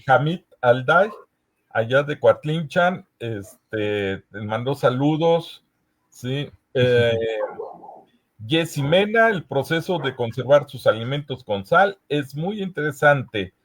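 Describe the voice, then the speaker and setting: A Mexican man with a mid-pitched voice hosts a talk session at an unhurried pace. He is informal and hesitant, often stopping to look for the next word as he moves between reading comments and chatting. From Veracruz, Mexico. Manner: hesitant, searching for words with frequent pauses. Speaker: host of a history conference session. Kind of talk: casual moderating, reading audience comments aloud.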